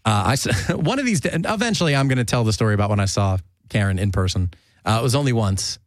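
A man's voice: lowish voice, lively intonation, quick pace, dry recording, studio quality. A man hosting a casual chat show, rambling and restarting his sentences and laughing often. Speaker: podcast host.

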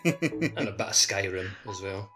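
A man's voice: sits low, expressive, measured pace, music in the background. A man co-hosting a podcast, chatting casually about video games.

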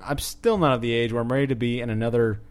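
Male podcast co-host for casual banter; profane, self-mocking, average pitch. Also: steady tone, fast talker. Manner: crude, profane, self-deprecating.

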